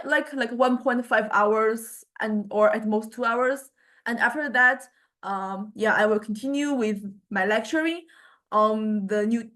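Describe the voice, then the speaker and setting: Hesitant female lecturer, higher-pitched voice. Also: a bit bright. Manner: hesitant, halting phrasing with repeated words. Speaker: university lecturer. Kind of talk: informal online lecture, class announcements.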